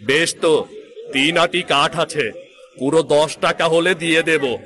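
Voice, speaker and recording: A man playing a poor, weary middle-aged woodcutter father in a moral-story cartoon, gentle but proud, with a plaintive, folksy way of talking. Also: on the high side, fairly even intonation, a little slow. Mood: firm haggling.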